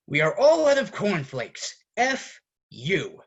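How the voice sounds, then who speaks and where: A man playing an exasperated roommate ranting at his fussy friend in a deliberate theatrical monologue with dramatic pauses. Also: high-pitched, wildly swooping pitch, creaky, slightly rough, highs cut off, heavily acted. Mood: exasperated, irritated. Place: online video call performance.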